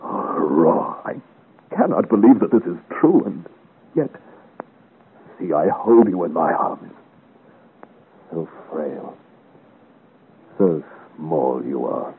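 A man's voice: deep voice, expressive, slow pace, long pauses, phone-quality sound, exaggerated voice. An actor playing an ardent, gallant young French swordsman in a theatrical 1950s radio adventure serial, with courtly, declamatory delivery. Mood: tender, overwhelmed. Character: gallant, ardent young swashbuckling hero of 17th-century France. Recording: thin, noisy old 1950s radio recording.

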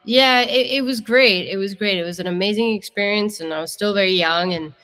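A woman's voice: medium pitch, a little fast, a bit bright, big-room sound, low-quality recording. A young woman from Italy, a singer-songwriter telling her story in a casual interview, chatty and candid.

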